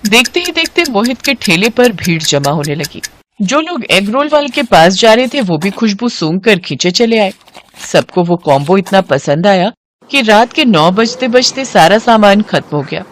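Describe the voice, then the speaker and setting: Expressive woman narrating a story. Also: mid-range voice. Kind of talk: scripted moral story narration.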